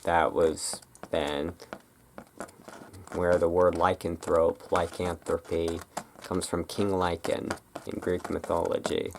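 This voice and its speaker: ASMR host, deep pitch with heavy fry; casual, halting roleplay chatter. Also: flat delivery, darkish tone, slight background noise. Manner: rambling, halting, informal.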